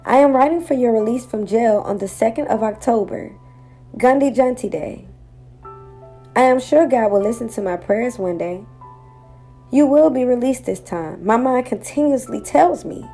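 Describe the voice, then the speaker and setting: A woman's voice: fairly high pitch, moderate pace, warm tone, soft background music, close to an everyday voice. An actress playing an earnest, wistful eleven-year-old village girl in an audio drama, longing for her father. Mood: earnest, sad.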